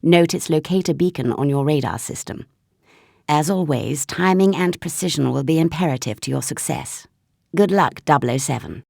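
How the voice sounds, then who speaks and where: Low, gravelly spymaster. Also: female voice, normal speed, mellow, dry recording, clean studio sound. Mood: businesslike. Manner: formal, clipped, commanding. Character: stern, authoritative female spy agency chief. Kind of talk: scripted video game mission briefing.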